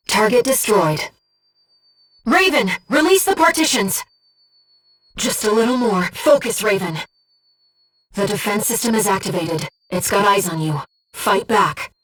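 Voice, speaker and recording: A woman voicing a gentle, disembodied young female companion in a video game, giving a mercenary pilot composed, earnest and precise tactical reports in a soft, breathy voice. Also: mid-range voice, far from the mic, poor audio. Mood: urgent. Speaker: mission operator companion.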